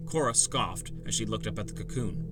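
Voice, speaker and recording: A man's voice: fairly low pitch, fairly quick. A man narrating a first-person fantasy audiobook as a scripted story. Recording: loud music bed.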